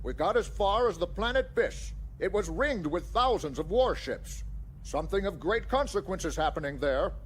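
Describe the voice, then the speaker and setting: High-pitched, grave alien admiral. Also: male voice, expressive, moderate pace, low-quality recording. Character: grave alien male admiral of a rebel fleet. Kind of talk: sci-fi audio drama acting. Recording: faint background noise.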